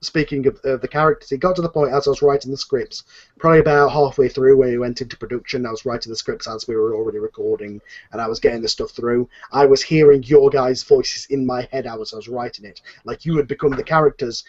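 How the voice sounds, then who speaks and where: A man's voice: medium pitch, fairly even intonation, fast. A man who writes an audio drama, talking enthusiastically in a casual panel chat, rambling with many fillers and restarts. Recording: muffled lo-fi recording.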